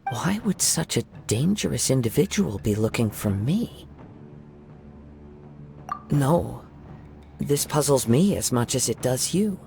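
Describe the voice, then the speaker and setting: A man voicing a calm, reflective young wandering samurai who speaks in a measured, formal and courteous way, in a game cutscene. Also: medium pitch, vocal fry, faint music behind, full character voice. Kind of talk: video game cutscene dialogue.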